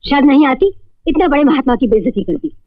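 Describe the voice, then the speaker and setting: A woman's voice: sits high, expressive, quick pace. A woman playing a devout, deferential mother, earnest and pleading, in a melodramatic film spoof. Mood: pleading, upset. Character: devout, deferential mother of the household, anxious and scolding. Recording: dull lo-fi sound with music underneath.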